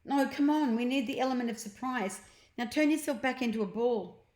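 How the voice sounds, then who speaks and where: Storybook little brother, played by a woman: high, animated, mischievous and urgent, in a roomy recording. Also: fairly quick, close to an everyday voice. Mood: urgent, scheming. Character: eager, mischievous young boy, bickering little brother. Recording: echoey, roomy home recording.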